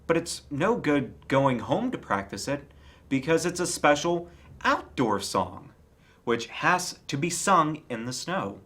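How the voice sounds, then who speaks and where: A man's voice: on the high side, sing-song, takes it slowly, sharp tone, voice pushed up, heavily acted. A man voicing a kindly, simple-minded toy bear in a storybook, earnest, musing and slightly muddled.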